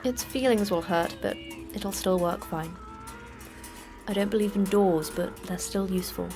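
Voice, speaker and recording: A terse female station manager played by an actress in a sci-fi horror podcast. She talks in a brusque, deadpan way with dry sarcasm. Her pitch sits in the middle, and faint music runs underneath. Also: moderate pace, close to an everyday voice. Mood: dry, sardonic. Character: terse, sardonic woman station manager of a secret facility. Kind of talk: audio fiction podcast acting.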